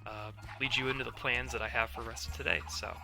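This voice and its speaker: Hoarse male let's-play host, mid-pitched, easygoing explainer with lots of ums, game music clearly behind him. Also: quick pace. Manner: relaxed, explains step by step with many fillers. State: hoarse, under the weather. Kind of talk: casual gameplay commentary.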